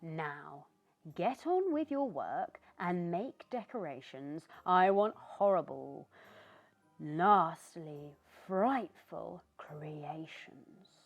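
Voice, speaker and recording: A woman voicing a grumpy, bossy female witch in a storybook, speaking in a loud, stern, scolding way. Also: fairly low pitch, expressive, extremely slow, highs cut off, exaggerated voice. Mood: fretful.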